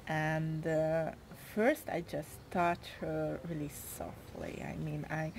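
A young woman with a Hungarian accent speaks English, her second language, in a soft, hesitant way, pausing often and reaching for words. Her voice sits fairly low for a woman, and she talks at an unhurried, drawn-out pace. A faint noise runs behind her. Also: flat delivery, creaky. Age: 20s. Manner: hesitant, with frequent pauses and fillers. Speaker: interviewee. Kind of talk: casual interview answers.

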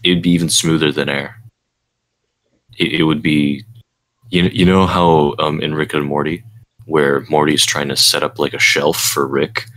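Young man, very deep voice, husky, dry teasing, laid-back, podcast host, online call. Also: level tone, measured pace, a bit bright. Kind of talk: casual chat.

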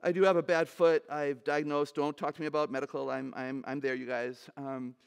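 Older lady with a really low voice telling funny stories at a church conference, big stage. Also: a little fast, creaky, clear, resonant tone. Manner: animated, humorous storytelling. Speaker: conference speaker. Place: large stage.

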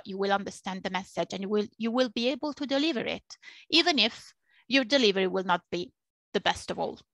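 A woman's voice: fairly high pitch, fairly bright tone, no echo. A woman in her thirties, a native Italian speaker with an Italian accent, explaining earnestly as an interpreter in a webinar over a headset on a video call. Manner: earnest, explaining, repeats points for emphasis. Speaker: interpreter and Chinese interpreting teacher. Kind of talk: webinar panel talk. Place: small home office.

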